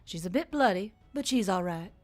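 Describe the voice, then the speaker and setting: A woman's voice: mid-range voice, lively intonation, fairly quick, natural voice. A woman voicing a brash, mocking action heroine in a narrated story, with music behind her. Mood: calm. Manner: mocking, foul-mouthed, cocky. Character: brash, sarcastic, swaggering action heroine named Emily.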